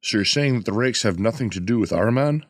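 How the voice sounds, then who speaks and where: Low-voiced, skeptical policeman played by an actor. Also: lively intonation, quick pace, dry recording, clean studio sound, close to an everyday voice. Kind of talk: audio drama dialogue.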